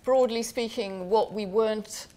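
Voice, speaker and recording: A woman's voice: medium pitch, a little slow, mellow. A woman film director answering questions on a panel, hesitant, with many fillers, in a large gallery room.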